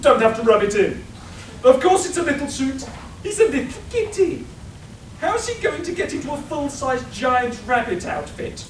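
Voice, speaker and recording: A woman's voice: high-pitched, big pitch swings, normal speed. A woman playing a vain, exasperated clockwork machine tailor in a live radio play, pompous, melodramatic and self-pitying. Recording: echoey hall, distant mic, faint noise.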